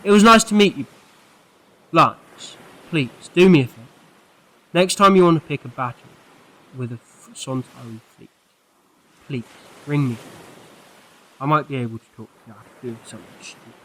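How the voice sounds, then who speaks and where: Male actor as kindly alien time traveller, higher-pitched voice, breezy, chatty, playful pauses, audio drama. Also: a bit bright, natural voice, low-quality recording. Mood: gently chiding, fond. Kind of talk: fan audio drama acting.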